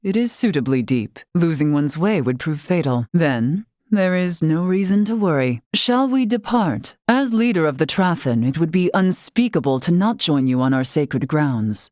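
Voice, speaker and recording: धीमे सुर वाली गंभीर महिला मुखिया. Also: female voice, deep voice, very animated, darkish tone, dry recording, studio quality. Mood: solemn, composed. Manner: formal, archaic, measured phrasing. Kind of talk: video game character acting.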